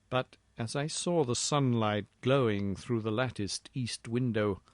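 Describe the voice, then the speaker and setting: Male narrator for gothic horror prose: measured pace, formal theatrical delivery, dry close sound. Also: medium pitch, no room sound. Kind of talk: dramatic literary horror story narration.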